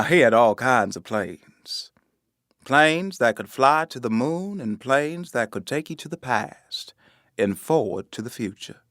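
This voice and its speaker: An actor playing a wistful young man, telling memories to the audience in a reflective theatrical monologue with dramatic pauses. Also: mid-range voice, fairly bright tone, no echo, studio quality. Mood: wonder. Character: wistful young man remembering his flying family.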